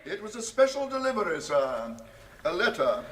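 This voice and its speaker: An actor playing a deferential, unflappable English gentleman's valet, formal, measured and politely understated, in a British radio comedy. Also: high voice, big pitch swings, slight background noise. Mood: formal, composed. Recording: long echoing room reverb.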